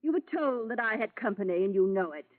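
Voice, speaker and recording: A woman's voice: medium pitch, lively intonation, fast talker, natural voice. An actress in a vintage radio drama playing a possessive, prying mother of a grown son, formal and reproachful. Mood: stern, reproachful. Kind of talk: 1940s-50s radio drama acting. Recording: muffled vintage radio broadcast recording.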